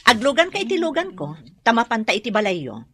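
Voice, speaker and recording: A woman's voice: a little high, huge pitch swings, fairly quick, pushed and bright, full character voice. A woman playing a wealthy, haughty and melancholy employer in an Ilocano radio drama, with an Ilocano accent. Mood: curious, haughty.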